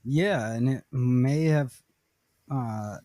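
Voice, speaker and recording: A man hosting a casual podcast interview, talking in a halting way with many fillers. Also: mid-range voice, mellow, no echo. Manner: halting, many uhs and likes. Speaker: podcast host.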